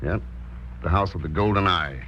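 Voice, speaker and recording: An actor playing a cool, laconic, determined investigator with a clipped, dry manner in a 1940s radio drama. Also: male voice, deep voice, moderate pace, bright, forceful tone. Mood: cool, wry. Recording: dull, noisy old radio recording.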